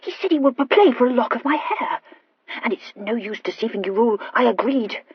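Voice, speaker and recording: A woman's voice: on the high side, expressive, fairly quick, breathy edge, no echo, highs cut off, voice pushed up. A woman voicing an impulsive, anxious young aristocratic wife who stammers and gushes earnestly in an audiobook story. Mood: sheepish, earnest. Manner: hesitant, gushing confession with emphatic outbursts.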